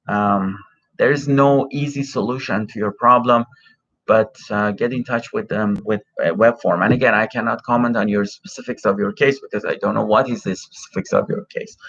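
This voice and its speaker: Farsi-native guy answering viewer questions live, lots of uh and um, bright tone, muffled phone audio. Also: mid-range voice. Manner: hesitant, many fillers and restarts. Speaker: immigration consultant, livestream host. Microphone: cell phone.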